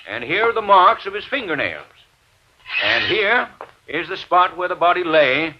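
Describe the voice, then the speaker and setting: An actor playing a folksy, stammering small-town sheriff's officer in an old radio mystery, halting and peppering his talk with filler phrases. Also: high voice, big pitch swings, noisy background. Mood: formal, demonstrative. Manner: hesitant, stammering, repeating "you know". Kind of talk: 1940s-50s radio drama acting. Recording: dull vintage radio broadcast recording.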